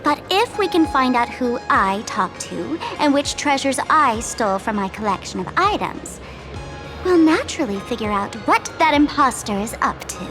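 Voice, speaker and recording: High, swooping voice of a teasing trickster. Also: female voice, sing-song, moderate pace, low-quality recording. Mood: smug, sly. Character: mischievous, teasing young woman trickster, smugly self-assured. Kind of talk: video game voice acting. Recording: studio voice over game music.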